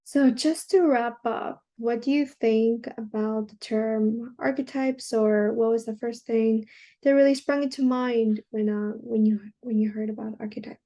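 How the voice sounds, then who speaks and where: A woman hosts a literary journal interview, asking friendly questions in a soft-spoken, hesitant way. Her voice sits a little high but sounds mellow and warm. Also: steady tone, unhurried.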